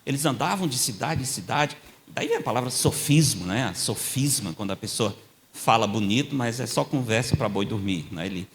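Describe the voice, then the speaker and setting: An older man preaching in a church, at an ordinary pitch for a man. He mixes storytelling with quick asides to the congregation and rises in voice when he stresses scripture. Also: lively intonation, a little hiss or hum. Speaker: guest preacher. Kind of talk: sermon with storytelling.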